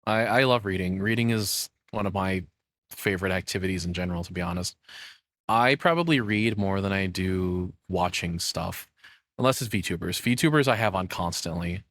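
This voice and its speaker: Male streamer, lowish voice, loose and enthusiastic reactions, close dry recording. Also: normal speed, fairly bright tone, dry recording. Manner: enthusiastic, informal, swearing. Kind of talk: casual spontaneous reaction commentary.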